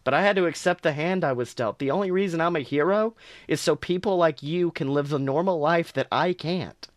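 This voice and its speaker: A man's voice: on the high side, brisk, dry recording, clean studio sound, close to an everyday voice. A man voicing a world-weary heroine speaking earnestly to a kid.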